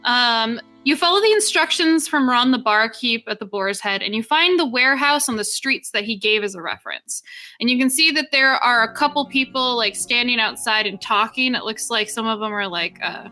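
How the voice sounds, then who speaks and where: Woman GM, bright tone over faint background music, loose chatty narration. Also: on the high side, expressive, normal speed. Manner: casual, filler-heavy narration with voiced NPC lines. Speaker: game master. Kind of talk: narrating a tabletop game.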